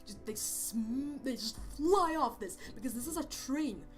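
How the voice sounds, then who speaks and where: A man's voice: very high voice, animated, unhurried. A man with a Swedish accent voicing a goofy, rambling persona who stammers through a video game commentary and yelps when startled. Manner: rambling, hesitant, jumpy exclamations. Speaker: let's play host. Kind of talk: let's play commentary. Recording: game music loud behind voice.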